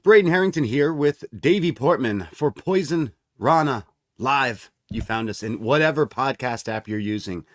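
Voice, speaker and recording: A man's voice: medium pitch, animated, moderate pace, dry recording, studio quality. A man co-hosting a podcast, talking in a casual, rambling, conversational way. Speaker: podcast co-host. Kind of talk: casual chat.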